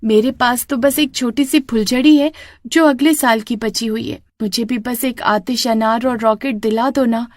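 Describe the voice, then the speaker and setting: A woman's voice: on the high side, fairly even intonation, measured pace, no room sound, close to an everyday voice. A woman voicing an earnest little village girl in a children's story, wheedling and plaintive. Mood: pleading.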